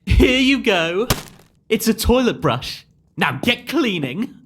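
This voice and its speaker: A woman's voice: a little high, very animated, takes it slowly, a little hiss or hum. A woman playing a haughty, scheming, gold-digging stepsister in an audio pantomime, mock-sweet and theatrical. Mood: smug gloating.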